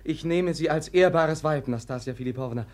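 An actor playing a gentle, naive, sincere prince, speaking earnestly and haltingly in a radio play. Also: male voice, a little high, lightly acted. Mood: earnest, tender. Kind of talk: German radio play acting.

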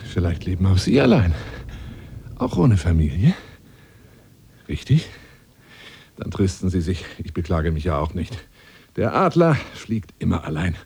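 A man's voice: wildly swooping pitch, unhurried, long pauses, darkish tone, heavily acted. An actor playing a lonely, boastful middle-aged bachelor adventurer wooing a woman by phone in a radio drama, with flowery, insinuating talk that drops into near-whispers. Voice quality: deep, gravelly. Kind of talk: radio drama monologue, one side of a phone call.

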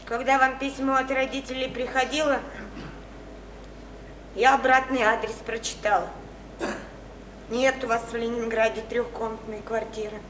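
A woman's voice: on the high side, little pitch movement, normal speed, long pauses, a bit bright, lightly acted. An actress in a radio play voicing an earnest, reproachful woman who speaks with insistence. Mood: accusing. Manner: insistent, accusing. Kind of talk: theatrical Russian radio play acting. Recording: echoey, distant radio play with noise.

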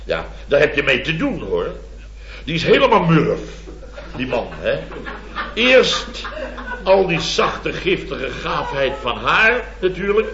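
Blustery, high-pitched middle-aged contractor. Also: male voice, sing-song, takes it slowly, fairly bright tone, heavily acted. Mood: grave gossiping. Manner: rambling, exclamatory, constant tag words. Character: blustery middle-aged boastful male building contractor, rambling anecdote teller. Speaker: comic lead actor. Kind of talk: 1970s radio comedy acting. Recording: dull old radio recording, roomy, audience laughter.

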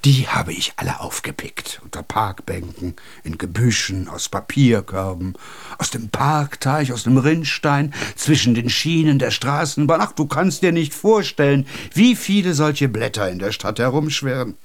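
An actor playing a whimsical, kindly junk collector in a German radio play, musing and animated with a raspy voice. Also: male voice, medium pitch, normal speed, natural voice. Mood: eager, proud.

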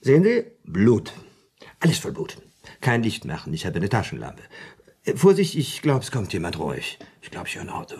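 Radio drama: shrewd, superior male storyteller, husky, theatrical, very lively intonation. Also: fairly low pitch, normal speed, heavily acted. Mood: conspiratorial, animated. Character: shrewd, superior man spinning a crime story. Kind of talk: German radio play dialogue.

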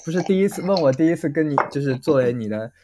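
A man in a casual group chat as a voice actor guest, speaking in hesitant, halting phrases. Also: fairly high pitch, animated, noisy background.

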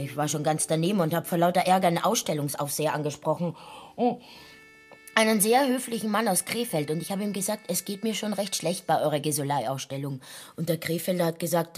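An actress plays a garrulous streetwalker in a radio drama. Her woman's voice sits on the low side, with a lilt and an over-familiar, rambling way of talking. Faint music plays behind her. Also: a little mellow, lightly acted.

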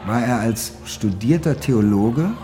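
An actor playing a domineering narrator of a seedy city in a literary radio drama, with a commanding, declamatory delivery. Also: male voice, mid-range voice, lively intonation, a little slow, dark tone, natural voice. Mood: storytelling. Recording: music behind the voice. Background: music.

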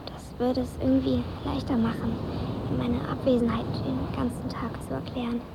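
An actress playing a polite, sad, precocious girl of about ten in a radio drama, speaking formally and haltingly. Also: high voice, flat delivery, creaky, heavy background noise. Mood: sad, composed. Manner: formal, halting, overly courteous.

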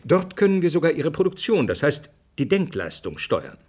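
A didactic researcher in a radio drama interview. His voice is slightly high and rough-edged, earnest and brusque as he explains. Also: wildly swooping pitch, moderate pace, a little mellow, heavily acted. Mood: lecturing. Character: earnest male scientist, explaining theory, brusquely dismissive of speculation.

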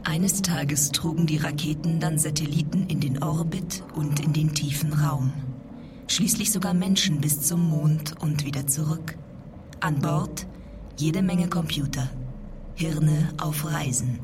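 A woman playing a computer's younger lecture voice, giving measured, explanatory narration in a radio play. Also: sits low, fairly even intonation, noisy background. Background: loud music.

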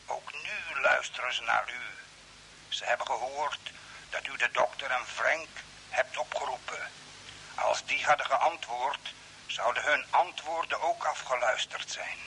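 A secretive, cryptic stranger calls over space radio in an old radio drama. The voice is extremely sharp and bright through a radio filter, measured and guarded, at a medium pitch. Faint hiss sits behind it. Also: male voice, gravelly, rough recording. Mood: secretive, warning. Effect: radio transmission filter.

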